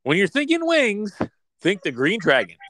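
Man, casual podcast co-host, animated pitch, jokey ad-announcer bits. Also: moderate pace, a little hiss or hum. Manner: playful, slips into a mock ad-announcer voice. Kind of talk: casual chat.

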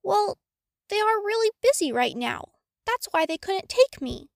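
A woman's voice: extremely high pitch, sing-song, a little slow, gravelly, no room sound, clean studio sound, voice pushed up, full character voice. A woman voicing a polite, eager, evasive young boy wizard in a storybook reading.

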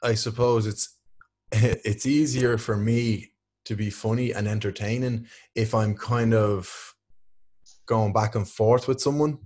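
A man's voice: on the low side, steady tone, takes it slowly, a little mellow. A young man co-hosting a podcast, rambling and joking with a lot of swearing in loose banter. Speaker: podcast co-host. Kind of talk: casual chat.

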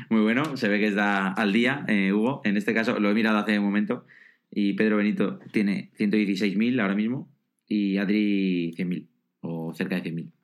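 A man's voice: on the low side, steady tone, normal speed. A man hosting an interview podcast, friendly and a bit hesitant, with many restarts as he sets up questions. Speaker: podcast host.

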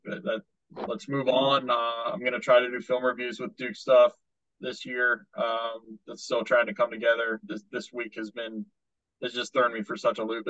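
Flat, measured sportswriter man. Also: medium pitch, dry recording, highs cut off. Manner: measured, explanatory. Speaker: sportswriter co-host. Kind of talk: casual sports podcast chat.

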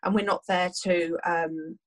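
A woman's voice: on the low side, fairly even intonation, measured pace. A woman, a doula, answering podcast questions casually with many fillers and restarts while losing her voice. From United Kingdom.

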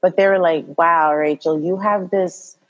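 A woman from Congo, a doula and podcast guest, talking in a calm, direct way and laughing often. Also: on the low side, a little slow, dull audio. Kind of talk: casual podcast interview.

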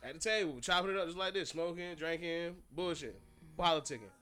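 A man around thirty hosts a casual podcast in slangy, profane talk. His voice sits a little high, with some music playing behind it. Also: fairly quick, bright, forceful tone. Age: 30s. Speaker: podcast host. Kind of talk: casual group podcast chat.

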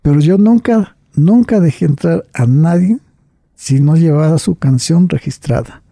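A man's voice: fairly high pitch, measured pace, creaky, mellow, no echo, clean studio sound. An older man recounting personal memories in conversation, often adding "right?" and "you see?" and restarting his phrases.

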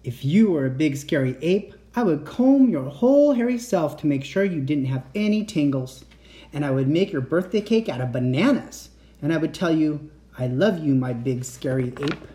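A man voicing a gentle mother reassuring her child at bedtime. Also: fairly high pitch, expressive, normal speed, lightly acted. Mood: tender. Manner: soothing, tender reassurance.

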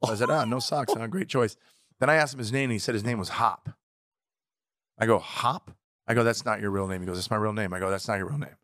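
A man's voice: on the low side, quick pace, fairly bright tone, no room sound, studio quality. A man co-hosting a podcast, a stand-up comic who tells brash, profane, teasing stories in casual banter.

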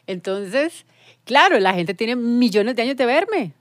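A woman, a TV presenter talking reflectively in a casual panel chat and slowing down to stress her points. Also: on the high side, animated, no room sound, studio quality. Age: 40s. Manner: reflective, emphatic, slows down on key points.

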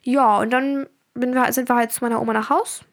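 A teenage girl from Germany talks through her week on her own podcast. She rambles in a loose, chatty way with plenty of fillers. Her voice sits a little high. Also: steady tone, fairly quick. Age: teens. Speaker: podcast host. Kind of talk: casual personal podcast chat.

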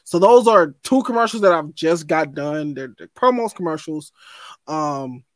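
A young adult man, a voice actor, talking in an animated, excitable way with stammered restarts in a casual podcast chat. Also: a little high, unhurried, no room sound. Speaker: voice actor guest.

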